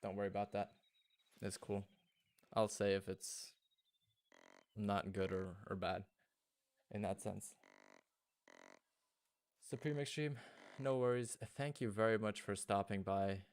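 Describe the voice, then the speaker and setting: Deep monotone guy streaming a hunting game, german first language, chatting with chat as he plays. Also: unhurried, long pauses. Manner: thinking aloud, greeting chat viewers. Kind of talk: casual gaming livestream chat.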